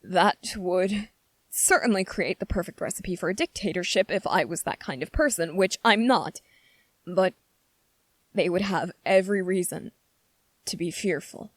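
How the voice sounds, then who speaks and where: Slow, low-voiced anxious young prince, played by a woman. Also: no echo. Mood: earnest, diplomatic. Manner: careful, hesitant, formal, pleading. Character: anxious, earnest, gentle young male fantasy prince. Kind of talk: ASMR character roleplay.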